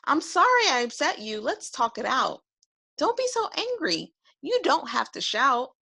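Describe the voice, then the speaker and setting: A woman voicing a gentle, patient little boy from a children's storybook, speaking earnestly and soothingly. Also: big pitch swings, unhurried, vocal fry, slightly rough, exaggerated voice. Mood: apologetic, soothing. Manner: high-pitched, earnest, soothing.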